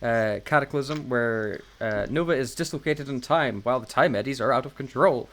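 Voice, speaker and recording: A man's voice: medium pitch, moderate pace, fairly bright tone, slight background noise. A man co-hosting a podcast in casual, joking review chat, with a self-deprecating manner. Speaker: podcast co-host.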